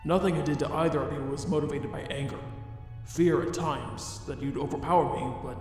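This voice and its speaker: A man playing a remorseful, self-loathing monster scientist in a comic dub, speaking in a formal, deliberate way. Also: on the high side, steady tone, close to an everyday voice. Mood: grave, guarded. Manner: formal, deliberate, grave wording. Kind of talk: dramatic fan comic dub. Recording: very echoey long reverb under music.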